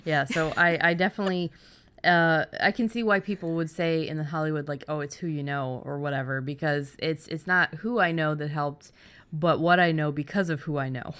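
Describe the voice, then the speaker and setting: A middle-aged woman host talking casually and candidly about her own experience, haltingly, as if thinking aloud. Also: deep voice, steady tone, fairly bright tone, smooth. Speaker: podcast host and fiction writer. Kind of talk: casual conversational storytelling.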